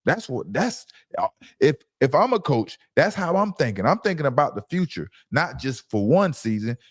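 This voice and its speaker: A man's voice: medium pitch, big pitch swings, normal speed, no echo, studio quality. A man hosting a sports reaction show, giving opinionated commentary in an emphatic, insistent way and repeating phrases for stress.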